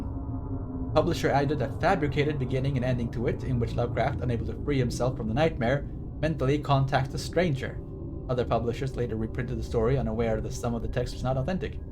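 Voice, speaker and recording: A man streaming a game playthrough, rambling casually with self-mocking jokes and reading the game's text aloud. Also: medium pitch. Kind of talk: casual let's-play commentary. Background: game music.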